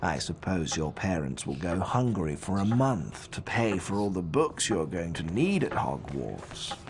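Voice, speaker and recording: Slow, low, sneering aristocratic English villain. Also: male voice, sing-song, a little hiss or hum, exaggerated voice. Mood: contemptuous. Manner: slow, condescending drawl. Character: haughty, sneering wealthy middle-aged villain wizard. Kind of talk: video game cutscene acting.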